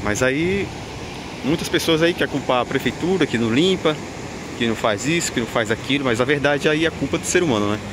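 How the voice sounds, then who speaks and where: Brazilian man vlogging in loud wind noise. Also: mid-range voice, expressive, quick pace. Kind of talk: unscripted walking vlog commentary. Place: outdoors on an open windy beach. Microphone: handheld camera mic, behind the camera. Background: wind and outdoor ambience.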